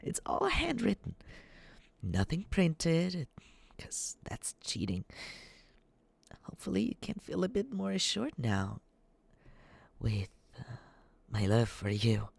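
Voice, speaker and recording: A man playing a lovesick, obsessive young yandere stalker in an ASMR roleplay, awkwardly sweet and breathy, with halting, nervous delivery and soft asides. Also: medium pitch, wildly swooping pitch, no echo, full character voice. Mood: eager, adoring.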